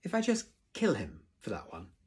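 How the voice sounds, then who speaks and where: A man's voice: medium pitch, huge pitch swings, normal speed, breathy edge, pitched above the performer's own voice, exaggerated voice. A man voicing a sneering, mocking Viking boy bully in a storybook, with a jeering drawl.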